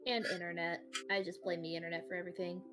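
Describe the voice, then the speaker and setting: A woman joking and teasing in casual, expressive banter during a game stream. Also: on the low side, fast talker, music in the background.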